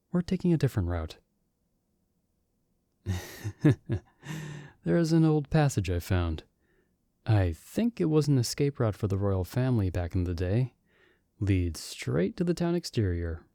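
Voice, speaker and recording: A man's voice: fairly low pitch, dark tone, no room sound, exaggerated voice. Guy doing a teasing young friend in an ASMR roleplay, easygoing, very dry sound. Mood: hushed, urging, then playful. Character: playful young man, the hero's friend summoned with him. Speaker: voice actor.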